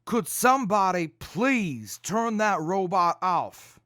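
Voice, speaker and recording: An actress playing a practical, weary leader of wasteland travelers, with a sensible, folksy manner, in an audio drama. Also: female voice, mid-range voice, expressive, takes it slowly, a bit bright, no room sound, clean studio sound. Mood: exasperated, firm. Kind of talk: post-apocalyptic audio drama acting.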